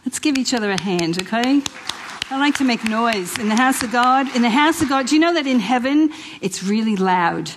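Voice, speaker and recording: A woman's voice: on the high side, lively intonation, moderate pace, noisy background. New York-accented woman preaching informally. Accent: New York with Italian and Australian traces. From New York, United States. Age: middle-aged. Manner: chatty, exhortative, with repeated phrases and rhetorical questions. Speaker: guest speaker at a church women's event. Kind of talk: informal inspirational sermon to a live audience.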